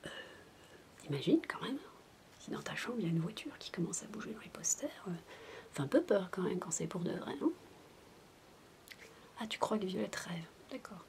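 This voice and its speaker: A woman doing soft-spoken ASMR storytelling, playful and whispery, wandering into chatty asides as if to a child. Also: fairly low pitch, animated, normal speed, vocal fry, pauses often. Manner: playful babysitter addressing a child listener. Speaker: ASMR roleplay performer.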